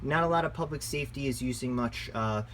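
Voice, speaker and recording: A man's voice: medium pitch, quick pace, fairly bright tone, slight background noise. A man giving a casual, unscripted product review as a shop presenter, in a conversational, enthusiast way.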